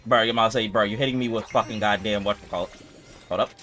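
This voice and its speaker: A man's voice: lowish voice, steady tone, fast talker, a bit bright. A man doing casual, slangy, profane commentary as he streams a game. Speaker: game streamer reacting to gameplay.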